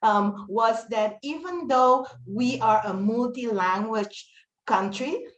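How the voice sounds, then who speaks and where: Papiamento-speaking woman, echoey room. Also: fairly high pitch. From Dutch Caribbean. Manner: earnest, fluent, many "um" pauses. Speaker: webinar panelist, teacher trainer. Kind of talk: webinar panel talk.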